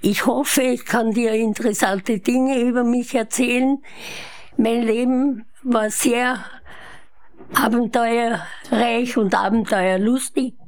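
An elderly woman in her eighties speaks with a Viennese Austrian accent. She recounts her own life as an interview guest, taking her time with a slow, measured pace. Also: fairly high pitch, fairly even intonation. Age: 80s. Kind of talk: unhurried autobiographical storytelling. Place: her apartment living room.

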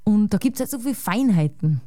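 Mellow-voiced woman with a Salzburg accent. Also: medium pitch, lively intonation, brisk, dry recording, clean studio sound. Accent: Salzburg Austrian German. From Austria. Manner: chatty, thinks aloud with fillers and tag questions. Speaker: guest musician. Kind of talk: casual conversation.

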